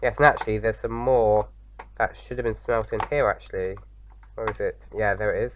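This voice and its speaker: Man with a slightly croaky sore throat. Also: on the low side, fairly even intonation, moderate pace, dull audio, a little hiss or hum. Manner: rambling, many fillers and restarts. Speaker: gaming video host. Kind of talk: casual let's-play commentary.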